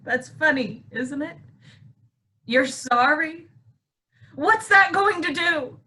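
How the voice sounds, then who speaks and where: An actress plays a grief-stricken wife who has come unhinged in a stage drama. Her voice is high and piercingly bright. She speaks slowly, clinging possessively to what she calls hers. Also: animated, exaggerated voice. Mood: bitterly cheerful. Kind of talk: staged play reading.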